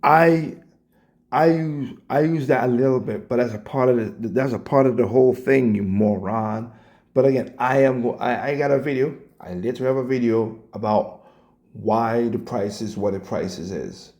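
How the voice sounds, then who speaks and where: A man with a scratchy, hoarse voice and a dark, mellow tone rants casually, blunt and profane. He trips over his words and repeats himself as he goes. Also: medium pitch, moderate pace. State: hoarse, coughing. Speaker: commentator reacting to clips. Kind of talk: casual rant.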